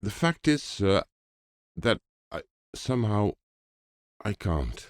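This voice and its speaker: A man's voice: fairly low pitch, big pitch swings, slow, no echo, pitched above the performer's own voice. A man voicing the abashed, awkward ghost of a weak young man in an audiobook, hesitant and apologetic. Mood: embarrassed.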